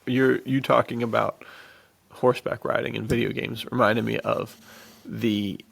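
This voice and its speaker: A man's voice: mid-range voice, steady tone, unhurried, creaky, a bit bright, husky, poor audio. A man co-hosting a podcast in casual, enthusiastic chat, with slangy talk peppered with "dude". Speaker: podcast co-host.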